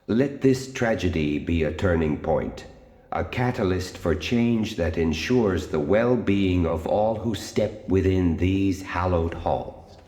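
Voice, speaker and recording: A man playing a grave, formal inquest chairperson, speaking in measured, solemn oratory in an audio drama. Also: sits low, mellow. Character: grave, formal male inquest chairperson addressing an opera house. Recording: cavernous reverberant hall, faint music bed.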